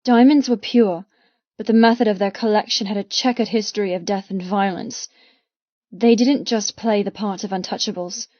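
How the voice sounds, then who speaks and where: A woman's voice: mid-range voice, steady tone, normal speed, a little mellow, no echo, muffled recording. A woman reading first-person fiction as an audiobook narrator, with an intense, dramatic delivery in short phrases.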